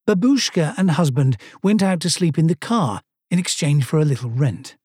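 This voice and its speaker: Swedish guy telling a travel story, dry humor, bit high voice, very dead dry recording. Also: lively intonation, moderate pace, a little mellow, breathy edge, no echo. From Sweden. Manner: wry, dryly self-mocking storytelling. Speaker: narrator. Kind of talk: first-person travel narration.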